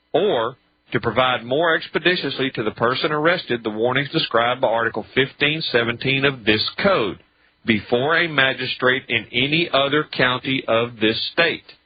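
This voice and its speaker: A man's voice: medium pitch, breathy edge, dry recording. An American man giving methodical, emphatic legal commentary as a talk radio presenter, spelling out each point step by step. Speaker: radio show commentator on traffic law. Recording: dull lo-fi talk radio audio.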